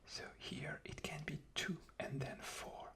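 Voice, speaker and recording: A man whispering an ASMR demonstration, explaining patiently and haltingly with repetition. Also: pushed and bright. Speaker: guest ASMR performer.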